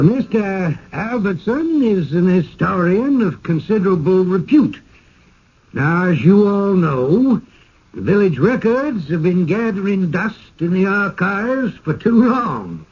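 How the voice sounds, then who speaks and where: An actor playing a genial, insistent small-town village elder in a radio drama, with a folksy, courtly, speechifying manner. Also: male voice, high voice, big pitch swings, slow pace, darkish tone, husky, heavily acted. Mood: pompous, persuasive. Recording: dull lo-fi old radio broadcast.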